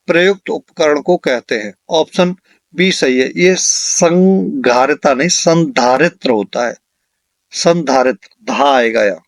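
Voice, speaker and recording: A man's voice: on the high side, no echo. A man, recorded dry and close. He teaches in a question-and-answer style, like an exam coach. He says key lines again for emphasis.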